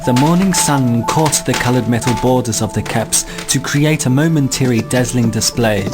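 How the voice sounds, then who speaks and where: A man's voice: medium pitch, normal speed, lightly acted. A man acting as narrator of a comic science fiction radio play, reading grand scripted description. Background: loud music.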